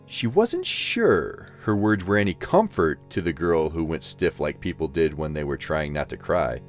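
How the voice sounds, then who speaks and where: A man narrating a scripted story in an expressive storytelling manner. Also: a bass voice, moderate pace, faint music behind.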